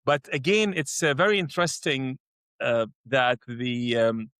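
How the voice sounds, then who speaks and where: A man's voice: mid-range voice, expressive, measured pace, fairly bright tone, smooth, no echo. Middle-aged male educator from Iraq, hesitant chatty delivery, close dry mic. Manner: hesitant, many restarts and fillers. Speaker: educator, co-host. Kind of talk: conversational dialogue.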